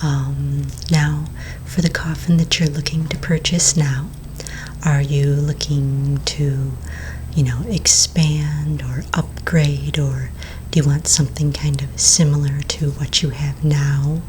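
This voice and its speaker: A woman voicing a courteous vampire real estate agent in a soft-spoken, mostly whispered ASMR roleplay, polite, unhurried and attentive. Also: sits low, flat delivery, slight background noise. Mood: soothing, helpful.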